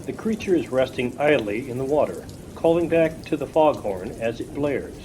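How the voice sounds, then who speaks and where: A man's voice: medium pitch, animated, moderate pace, darkish tone, close to an everyday voice. Man as radio play narrator over rain. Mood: matter-of-fact. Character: matter-of-fact narrator of an eerie story. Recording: rain noise behind.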